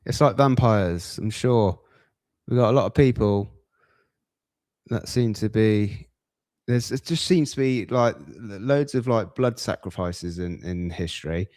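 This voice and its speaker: A man hosting a podcast, musing casually and haltingly as he reacts to clips. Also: on the low side, a little mellow, no room sound.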